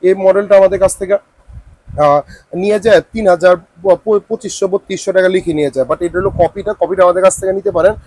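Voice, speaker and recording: Male Bangladeshi shop salesman, high husky voice, informal showcase delivery with repeated price patter. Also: steady tone, brisk, darkish tone, no room sound, low-quality recording. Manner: repetitive sales patter, some English words. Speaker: shop salesman presenting products.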